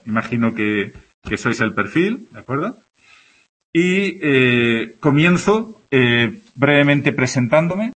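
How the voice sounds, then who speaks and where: A man's voice: medium pitch, big pitch swings, takes it slowly, fairly bright tone, breathy edge. A middle-aged man with an Andalusian Spanish accent, giving a friendly introductory lecture in a classroom, often checking in with his students. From Andalusia, Spain. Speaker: university access course tutor.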